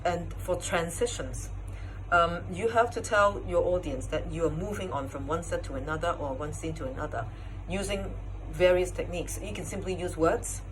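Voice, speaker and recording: Woman, competition judge. Low-pitched, explanatory, stop-and-start delivery, with faint background noise. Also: poor audio. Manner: explanatory, halting, searching for words. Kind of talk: spoken feedback talk to contestants.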